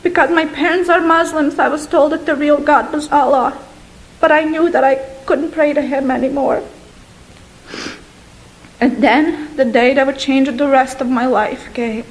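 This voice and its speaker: High-pitched young woman from Bosnia, earnest testimony, slow with long pauses. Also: fairly even intonation, rough recording. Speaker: guest giving a testimony.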